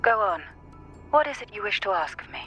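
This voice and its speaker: A mysterious castle noblewoman for a video game, elegant and faintly teasing. Her voice is bright and crisp-edged, with formal, drawn-out phrasing. Faint music plays underneath. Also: medium pitch, expressive. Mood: composed, explaining.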